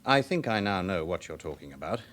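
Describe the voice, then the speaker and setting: An actor playing a guarded, affronted gentleman banker, formal and British, in a radio crime drama. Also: sits low, very animated, fairly quick. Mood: guarded, uneasy. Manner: formal, indignant.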